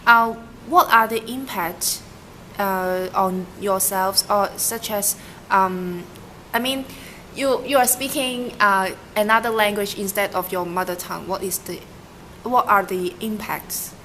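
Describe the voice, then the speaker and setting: A woman's voice: medium pitch, unhurried, bright, forceful tone, a little hiss or hum. A young woman interviewing someone, asking questions hesitantly with fillers and restarts. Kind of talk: casual student interview.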